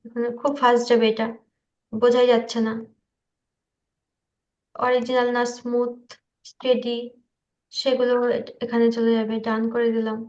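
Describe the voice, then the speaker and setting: A woman's voice: fairly high pitch, monotone, moderate pace, pauses often, darkish tone. A woman giving an informal screen-share tutorial, hesitant and checking that her listeners follow. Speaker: tutor demonstrating video animation software. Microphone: online meeting call. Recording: dull lo-fi online call audio.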